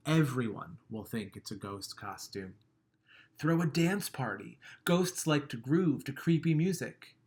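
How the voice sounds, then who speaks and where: A man reading a children's picture book aloud, gentle and expressive, letting line endings trail off softly and chuckling at the funny bits. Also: mid-range voice.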